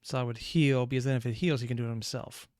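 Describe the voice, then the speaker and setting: A man's voice: mid-range voice, fairly even intonation, fast, a little mellow. A man giving off-the-cuff analytical commentary as a reaction video host, musing and thinking aloud.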